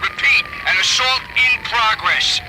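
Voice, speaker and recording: A man's voice: extremely high pitch, measured pace, music in the background. An actor playing a male patrol officer calling in clipped radio codes under fire. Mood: urgent. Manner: urgent, clipped radio code talk. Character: panicked male street cop on the radio. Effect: police radio. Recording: noisy distant radio transmission.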